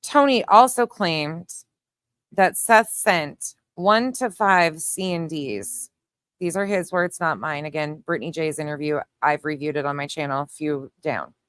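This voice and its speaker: A woman's voice: deep voice, unhurried, a bit bright, dry recording. A woman hosting an opinionated online case commentary, speaking in a pointed, emphatic, argumentative way. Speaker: commentary show host.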